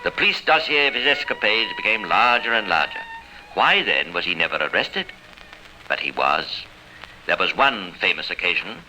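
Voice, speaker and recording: A man narrating a radio crime drama in a formal, dramatic, measured 1950s style. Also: on the low side, huge pitch swings, pushed and bright, soft background music, exaggerated voice. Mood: grave, dramatic. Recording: faintly noisy old radio recording.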